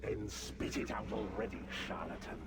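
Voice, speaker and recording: A man voicing an impatient, menacing dark god in a fantasy video game, gravelly and contemptuous. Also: on the low side, steady tone, moderate pace, heavy background noise, natural voice. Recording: distant voice under loud music.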